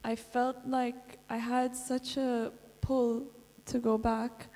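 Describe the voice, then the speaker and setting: A young woman from Kuwait, soft-spoken and unhurried, talks with many fillers. Her voice sits a little high but stays flat and dark in tone. She is heard through the long reverb of a large conference hall. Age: young adult. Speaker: conference speaker and stretch guide. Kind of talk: conference interview and guided stretching.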